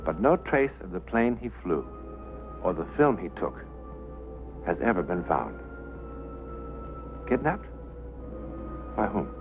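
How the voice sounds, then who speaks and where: An actor playing a grave narrator, speaking in deliberate, questioning phrases in a 1950s mystery style. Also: male voice, deep voice, very animated, phone-quality sound, over music, full character voice. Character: grave male narrator puzzling over an unsolved mystery. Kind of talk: dramatic 1950s mystery monologue. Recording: thin old film soundtrack.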